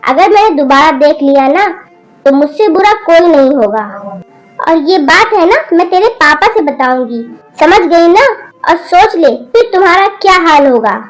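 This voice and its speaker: Lady doing a strict old grandma, lectures and scolds, pretty high voice. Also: lively intonation, normal speed, a little mellow, faint music behind, rough recording. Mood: lecturing.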